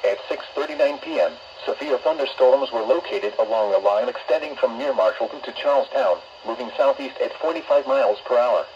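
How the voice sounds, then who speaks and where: A synthetic male announcer voice reading an automated weather warning in a formal, measured way, played through a weather radio speaker. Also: medium pitch, expressive, a little mellow, highs cut off, a little hiss or hum. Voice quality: synthetic text-to-speech voice. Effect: weather radio broadcast.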